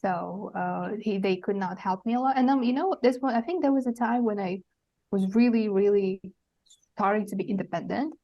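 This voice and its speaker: A woman's voice: medium pitch, normal speed, dull audio. A young woman from Kazakhstan telling her life story as a podcast guest, rambling with many fillers and restarts. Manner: meandering, full of fillers and restarts. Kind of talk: casual storytelling.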